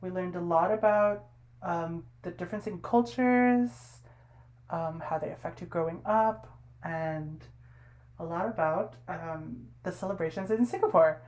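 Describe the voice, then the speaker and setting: A woman's voice: fairly low pitch, measured pace, a little mellow, low-quality recording. A young woman hosting a casual interview podcast, talking in a laid-back way with lots of fillers. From United States. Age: young adult. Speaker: podcast host.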